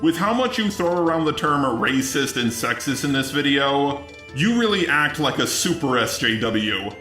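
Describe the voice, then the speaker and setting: Bright, forceful, higher-pitched man, sarcastic commentary. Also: sing-song, moderate pace. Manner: sarcastic, indignant. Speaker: commentary video host. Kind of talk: argumentative scripted commentary. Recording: roomy echo with music underneath. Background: music.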